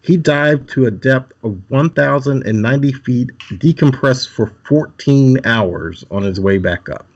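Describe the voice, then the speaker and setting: A man reads out facts on a live stream, slowly and with some halting. His voice comes through a dull, lo-fi line. His pitch sits in the middle. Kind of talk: casual live-stream chat.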